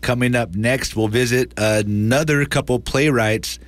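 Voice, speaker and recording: A man's voice: mid-range voice, measured pace, soft background music. A man with an American accent presenting community radio in a casual, conversational way as host and interviewer.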